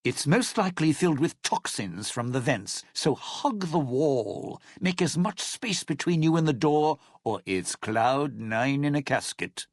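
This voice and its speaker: Game role: bitter, theatrical old crooner, husky and gravelly, unhurried sardonic delivery, dry close recording. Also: male voice, fairly high pitch, very animated, dry recording, heavily acted. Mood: urgent, wary. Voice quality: gravelly, raspy. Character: scheming, theatrical, bitter old lounge singer. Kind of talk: video game character acting.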